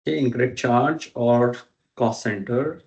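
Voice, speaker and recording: A man in a work meeting asking questions and checking his understanding, halting as he goes. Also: medium pitch, darkish tone. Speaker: interviewer documenting a work process. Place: recorded online work meeting.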